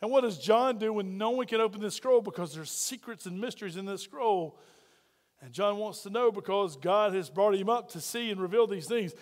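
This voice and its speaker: A man preaching a sermon as pastor, loud and folksy, full of playful asides to the congregation. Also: high voice, moderate pace, big-room sound.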